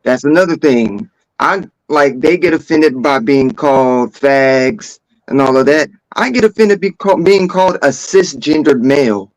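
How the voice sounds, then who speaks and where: A man's voice: medium pitch, a little slow, hardly any pauses, dry recording, poor audio. A man co-hosting a casual panel chat, chiming in agreeably with short, repeated phrases.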